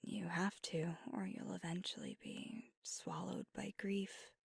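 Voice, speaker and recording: A woman voicing a gravelly-whispered young vampire. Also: fairly low pitch, fairly even intonation, unhurried, dry recording, clean studio sound, exaggerated voice. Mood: wistful. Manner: soft whispering, intimate. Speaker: ASMR roleplay performer. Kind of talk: ASMR roleplay. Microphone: close mic.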